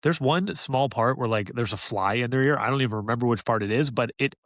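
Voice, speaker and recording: A man's voice: mid-range voice, fast, fairly bright tone, no echo, clean studio sound. A man co-hosting a podcast, giving opinions in an enthusiastic, casual review chat. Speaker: podcast co-host reviewing an audiobook.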